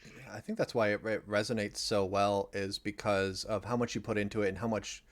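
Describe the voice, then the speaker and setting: A man's voice: fairly low pitch, steady tone, brisk. A man on a commentary panel, talking off the cuff in an earnest, explanatory way. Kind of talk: unscripted commentary discussion.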